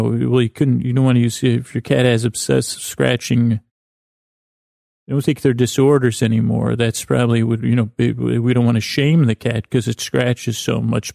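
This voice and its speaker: A middle-aged man with an American accent and a creaky voice, hosting a sleep podcast with a halting, meandering bedtime monologue that keeps digressing. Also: medium pitch, darkish tone, dry recording, studio quality. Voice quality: creaky, gravelly.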